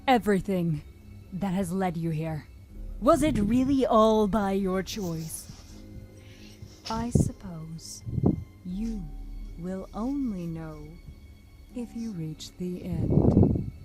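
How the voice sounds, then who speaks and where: A woman voicing a calm, menacing demon of wrath with solemn, deliberate delivery in a visual novel game. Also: mid-range voice, over loud music. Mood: solemn, cryptic. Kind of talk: dramatic visual novel voice acting.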